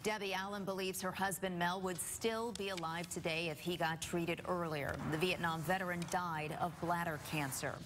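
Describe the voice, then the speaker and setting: Low-pitched American woman news anchor. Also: fairly even intonation, normal speed, hardly any pauses, fairly bright tone, slight background noise. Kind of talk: scripted newscast narration.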